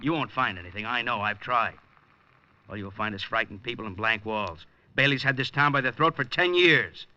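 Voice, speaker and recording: An actor playing a tired, blunt police lieutenant, in 1950s radio drama style. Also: male voice, mid-range voice, moderate pace, bright, forceful tone. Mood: resigned. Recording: dull old radio broadcast.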